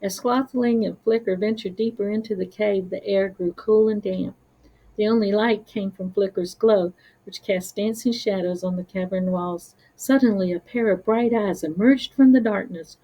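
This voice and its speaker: A woman narrating a children's story as a read-aloud, careful and expressive. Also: mid-range voice, moderate pace, low-quality recording.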